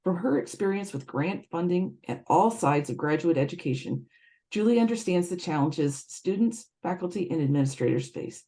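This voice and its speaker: A woman's voice: on the low side, lively intonation, normal speed, dark tone. A woman reading a scripted introduction of a guest for a webinar. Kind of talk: read introduction.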